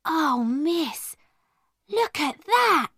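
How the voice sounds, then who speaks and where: A woman voicing a timid young servant girl in a story, breathless, excitable and deferential. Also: sits high, very slow, dry recording, studio quality, voice pushed up, heavily acted. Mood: awed.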